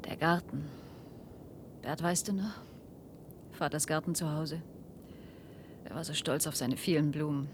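A woman's voice: low voice, steady tone, unhurried, pauses often, background noise. An actress playing an anxious, earnest adult daughter pleading for her father in a science-fiction radio play. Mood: hushed, wistful. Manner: pleading, earnest, often hushed.

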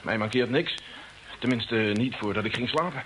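A man's voice: medium pitch, moderate pace, fairly bright tone, lightly acted, low-quality recording. A man acting a deferential, defensive spaceship crewman with an apologetic manner in an old radio play. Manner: apologetic, uneasy. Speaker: radio drama actor. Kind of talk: mid-century Dutch radio play acting. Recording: old radio recording, faint hiss.

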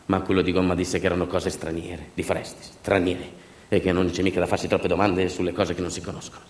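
A man's voice: deep voice, little pitch movement, quick pace, darkish tone. A man performing a theatrical narrative monologue as a stage storyteller, in a colloquial, emphatic manner that leans on repeated phrases. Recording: roomy echo, faint music. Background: faint music.

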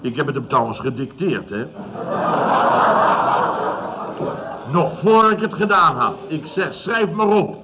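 An actor playing a boastful, boisterous builder boss who tells stories in a repetitive, blustering way in a radio comedy. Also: male voice, fairly high pitch, lively intonation, moderate pace, heavy background noise, natural voice. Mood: gleeful boasting. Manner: blustering, repetitive storyteller acting out quotes. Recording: dull old radio recording, studio audience.

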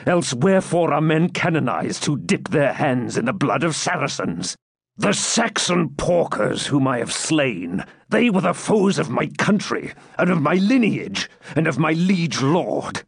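A man's voice: fairly high pitch, very animated, a little slow, creaky, husky, dry recording, studio quality, voice pushed up, full character voice. A man doing a growling, defiant dying baron. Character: dying savage old baron, stubborn and fierce.

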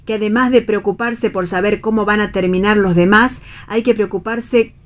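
Wistful actress as Argentine wife. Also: female voice, mid-range voice, fairly even intonation, moderate pace, lightly acted. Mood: reflective. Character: Flora, indecisive wife, tender, wistful, quietly defiant. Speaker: actor in a radio play reading. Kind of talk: radio theatre reading, dramatic dialogue.

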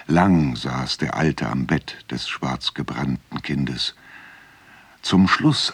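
Solemn legend narrator, bottomless low voice, unhurried, grave, husky, dry close sound, radio drama. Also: male voice, dry recording, heavily acted. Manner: grave, measured. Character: solemn male storyteller of a dark miracle legend.

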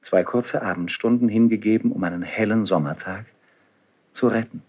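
Doomed idealistic nobleman, husky, low-pitched, declamatory, solemn, fervent, 1970s radio play verse. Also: dull audio. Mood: visionary, pleading. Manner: declamatory, solemn, emphatic delivery. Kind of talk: 1970s German radio drama, classical stage verse.

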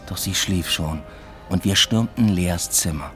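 Low-voiced reserved young man narrating. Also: a little slow, a bit bright, faint music behind. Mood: reflective, understated. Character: reserved young man recounting a new love affair. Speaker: narrator and lead character. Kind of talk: first-person radio drama narration.

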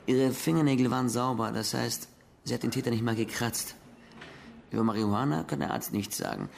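An actor playing a gravelly, matter-of-fact police colleague giving an explanatory briefing in a German radio crime drama. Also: male voice, mid-range voice, a little fast, slight background noise, close to an everyday voice. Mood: factual, intent.

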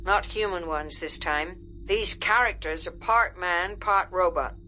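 A woman voicing a gruff, confident military general in an audiobook, blunt and harsh, with slangy commands. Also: lowish voice, measured pace, pushed and bright, soft background music, voice pushed up. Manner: barking, blunt, folksy slang.

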